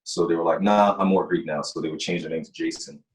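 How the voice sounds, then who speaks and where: A man who works as a mind-body coach, a guest in a casual interview, explaining things in a relaxed way and repeating words. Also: low-pitched, fast talker. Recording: echoey from a distance.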